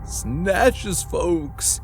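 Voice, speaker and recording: A man doing a slow-drawling old frontier coot. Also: sits high, lively intonation, creaky, voice pushed up, exaggerated voice. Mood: grave. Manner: drawling, slow backwoods grammar. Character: old frontier townsman, folksy and blunt. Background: background music.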